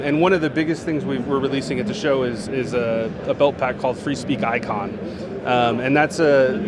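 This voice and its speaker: A man who works as a product manager, answering interview questions on a trade show floor in a relaxed way, with plenty of fillers and restarts. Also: medium pitch, heavy background noise.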